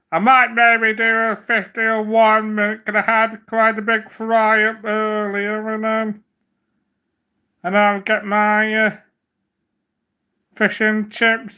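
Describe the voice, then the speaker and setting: A man's voice: high voice, pushed and bright, clean tone. A man hosting a casual livestream, rambling and repeating himself, with a slight cough from a cold. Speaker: livestream host.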